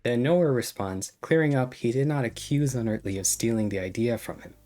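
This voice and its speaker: A man's voice: mid-range voice, brisk, warm tone. Sarcastic man narrating a video essay. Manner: sarcastic, critical commentary. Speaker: YouTube commentator.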